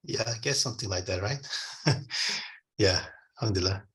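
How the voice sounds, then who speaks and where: A man's voice: lowish voice, fairly quick. Patient male teacher on a video call. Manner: patient, encouraging, hesitant with fillers. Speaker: Arabic teacher. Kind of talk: online class teaching.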